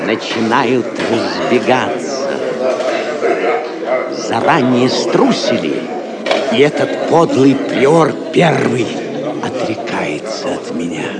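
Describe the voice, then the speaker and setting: An actor playing a haughty, suspicious medieval prince in a Russian audio play, with mocking, declamatory delivery. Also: male voice, mid-range voice, big pitch swings, slow, long pauses, a loud crowd. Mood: scornful. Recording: long hall reverb.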